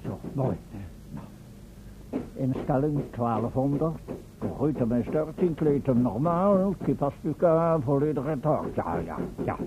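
An actor playing a pompous, domineering, eccentric professor in a radio play, fussy and commanding. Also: male voice, mid-range voice, expressive, a little slow, natural voice. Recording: dull old radio recording, faint noise.

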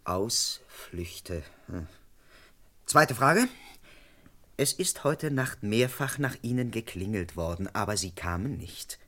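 An actor playing a suspicious British constable in a German radio crime drama, deadpan as narrator and brusque when questioning. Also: male voice, fairly low pitch, takes it slowly, exaggerated voice. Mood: officious, suspicious. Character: officious, suspicious British police constable, male, narrating his case. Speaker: narrator and lead character.

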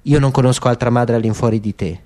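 A man's voice: mid-range voice, fairly even intonation, fast talker, dark tone, natural voice. A man playing a defiant young soldier in a radio drama, speaking with clipped, peremptory firmness. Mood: defiant, shaky. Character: tall young male soldier, stiff, defiant, sharp. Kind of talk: literary radio drama acting.

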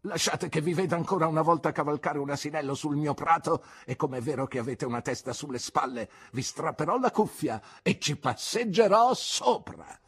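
A man doing a fierce husky old aunt. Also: a little high, normal speed, few pauses, fairly bright tone, pitched above the performer's own voice. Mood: threatening. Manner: scornful and commanding. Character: fierce, sharp-tongued older aunt, a woman. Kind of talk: audiobook character reading.

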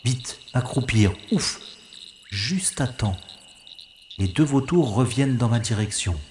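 A man's voice: medium pitch, expressive, slow, a little mellow, echoey room, slight background noise. A man playing a wry, cocky secret agent. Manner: wry self-mocking narration. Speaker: first-person narrator-hero. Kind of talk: audio drama inner monologue.

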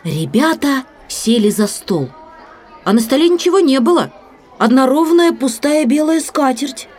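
A woman narrating a children's story as an expressive audiobook reader, with music behind her. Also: high voice, measured pace, a faint crowd.

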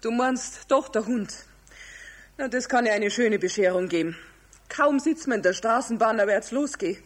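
A woman playing a strict, exasperated Bavarian mother in a children's radio play, scolding emphatically in a husky voice. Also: on the high side, expressive, moderate pace.

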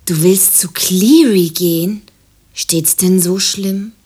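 A woman's voice: on the low side, slow, warm tone, husky. An actress playing a practical, hard-hearted, mocking woman in radio play dialogue, blunt and dry. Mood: sardonic, blunt.

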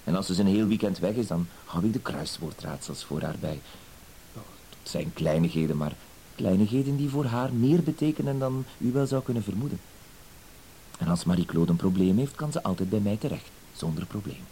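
Mellow, timid, pleading husband, hissy recording. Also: male voice, deep voice, animated, normal speed. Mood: earnest, confiding. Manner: apologetic, hesitant, wordy, polite. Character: timid, pleading, dutiful cuckolded husband, wounded dignity. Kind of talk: Dutch-language radio play acting. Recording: hissy old radio play recording.

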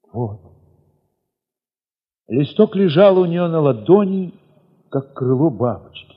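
A middle-aged man reading a first-person story as expressive, reflective dramatic narration, with weighty pauses and a husky voice. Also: medium pitch. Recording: muffled, long reverberant hall sound.

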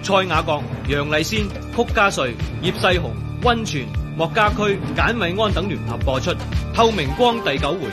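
A man's voice: sits high, animated, moderate pace. A man announcing titles and credits for a radio drama in a dramatic scripted style. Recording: loud music under the voice.

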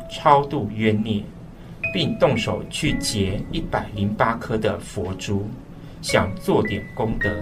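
A man's voice: lowish voice, far from the mic, rough recording. A man playing a sorrowful eldest son, narrating a radio drama reflectively in Taiwanese-accented speech. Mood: somber. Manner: reflective, melancholy, measured. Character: sorrowful eldest son recalling his broken family. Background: clear background music.

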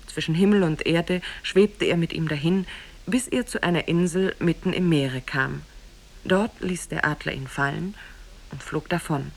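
An actress as the narrator of an Arabian fairy tale, grave and measured in 1950s radio storytelling style. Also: female voice, low voice, gravelly. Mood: measured, solemn. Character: solemn female teller of an Arabian Nights tale. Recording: 1950s radio recording from cassette.

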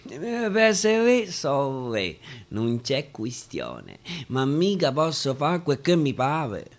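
A man with a Roman accent playing a hesitant, evasive king in a stage recitation, halting and repeating himself. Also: medium pitch, wildly swooping pitch, pitched below the performer's own voice, heavily acted. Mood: evasive, apologetic. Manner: halting, full of repeats and backtracking. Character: hesitant, evasive, stammering old-time king of Spain. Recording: guitar music behind the voice.

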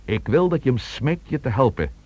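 An actor playing a commanding, determined police chief with a terse, authoritative manner in a Dutch radio play. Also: male voice, mid-range voice, big pitch swings, moderate pace, a little mellow. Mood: insistent, commanding.

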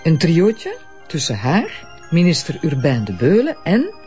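A teasing, inquisitive partner. The voice is high and swoops with great animation. The radio play recording is dull, with clear music underneath. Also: male voice, huge pitch swings, measured pace. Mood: telling.

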